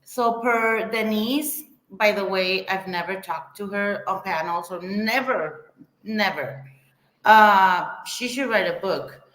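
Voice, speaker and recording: A woman chats casually as a panel member, speaking slowly at an ordinary pitch. Her delivery has a sarcastic edge, and the room around her is noticeably echoey. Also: fairly bright tone. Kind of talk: casual chat.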